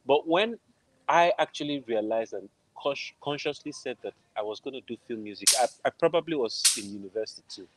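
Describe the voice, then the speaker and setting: A man's voice: medium pitch, animated, brisk, noisy background. A middle-aged man with a Nigerian accent, telling his story casually as an interview guest on a video call. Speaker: interview guest, film composer. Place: indoor room at home, video call. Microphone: wired earphones on a video call.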